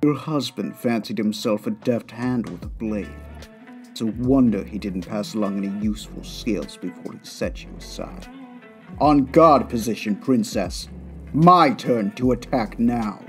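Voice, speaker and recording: Stern fencing master male actor: unhurried, condescending, curt, mid-pitched, comic dub with faint guitar behind. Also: lightly acted. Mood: stern, taunting. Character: stern, taunting fencing master of fairy-tale exiles. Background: plucked guitar music.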